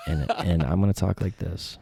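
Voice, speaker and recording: A man's voice: low voice, vocal fry, warm tone, slightly rough. A man on a podcast as a guest, chatting casually, playful and joking, often cutting in. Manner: playful, joking, rapid and interrupting. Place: podcast studio.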